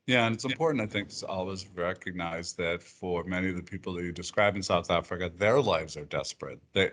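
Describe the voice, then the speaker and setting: A middle-aged man, a university president, moderating a roundtable in a measured, thoughtful way. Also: low-pitched, fairly bright tone.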